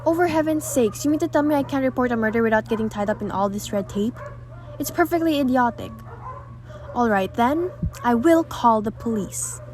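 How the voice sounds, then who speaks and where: A woman's voice: fairly high pitch, lively intonation, brisk, a little mellow, a little hiss or hum, natural voice. A woman playing an anxious, imperious, indignant married lady in an old-fashioned radio thriller, insistent and haughty. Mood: exasperated. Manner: insistent, haughty, overwrought delivery.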